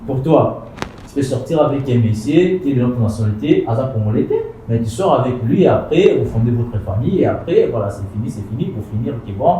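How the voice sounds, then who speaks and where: A man hosts a casual panel chat, halting and full of filler sounds as he hands the questions round. His voice is dark and mellow. The recording is distant and very echoey, with faint noise. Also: mid-range voice, animated. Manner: halting, many fillers like "bah" and "euh". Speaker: host.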